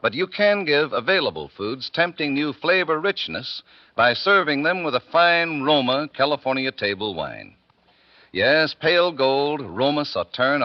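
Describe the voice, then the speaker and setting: Animated man announcing on muffled 1940s radio. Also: medium pitch, no echo. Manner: polished, deliberate salesmanship. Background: music.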